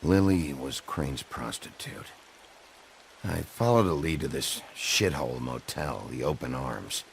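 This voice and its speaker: A deep, gravelly sheriff, speaking low and tersely in a game scene. His voice sits far down in pitch with a rough fry, over faint background hiss. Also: lively intonation, unhurried, full character voice. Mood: blunt. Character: gruff male sheriff, a werewolf in human form.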